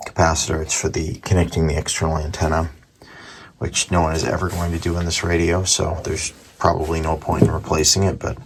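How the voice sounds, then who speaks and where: A man's voice: a bass voice, vocal fry, slight background noise. A man narrating a hands-on repair in a casual, unscripted way, thinking aloud with some hesitation. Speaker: radio repair hobbyist presenting his work.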